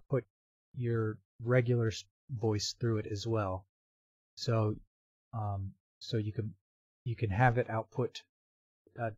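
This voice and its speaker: A man narrating a step-by-step software tutorial in an informal, explanatory way. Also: medium pitch, no echo, highs cut off.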